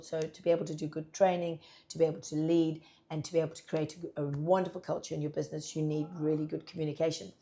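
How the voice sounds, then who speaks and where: A husky, slightly croaky woman talks through a farm update in a chatty, upbeat way, with plenty of ums. Her voice sits a little low and sounds dark and mellow. She speaks from a pack house office. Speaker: farm labour manager. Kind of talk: casual informative vlog.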